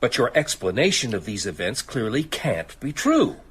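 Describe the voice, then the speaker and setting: A man's voice: medium pitch, very animated, moderate pace, slightly rough. An actor playing a stern, skeptical father who speaks in a formal, pompous, domineering way in a radio drama. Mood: grudging, measured.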